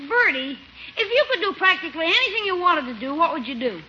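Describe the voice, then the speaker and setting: A man's voice: extremely high pitch, big pitch swings, fast, bright, forceful tone, full character voice. An actor playing a scheming, cheeky young boy with a wheedling, slangy way of talking in a 1950s radio comedy. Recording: dull, hissy 1950s radio recording.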